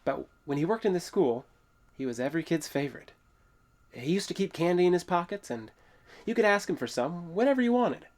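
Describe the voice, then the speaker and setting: A man's voice: on the high side, animated, brisk, a little mellow, natural voice. An actor playing an earnest, touchy man exploring an old building, stammering a little in naturalistic audio drama dialogue. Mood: earnest storytelling. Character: earnest, touchy male urban explorer telling local legends. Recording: echoey hollow room sound.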